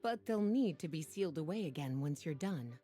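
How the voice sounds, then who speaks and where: A woman playing a languid, teasing adult librarian in a video game, poised and breathy. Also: deep voice, expressive, a little fast, warm tone, faint music behind. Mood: composed. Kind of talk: animated video game voice acting.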